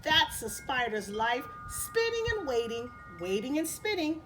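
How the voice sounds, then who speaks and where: Woman voicing a high, singsong old lady spider. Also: very animated, measured pace, fairly bright tone, soft background music, exaggerated voice. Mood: patient. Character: kindly old female spider, patient and reassuring.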